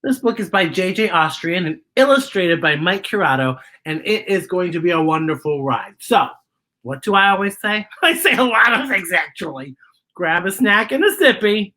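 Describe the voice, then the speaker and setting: A woman's voice: on the low side, lively intonation, moderate pace, bright, forceful tone. A woman hosting a children's story time, reading a picture book playfully and exclaiming directly to young listeners. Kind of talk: animated children's picture-book read-aloud.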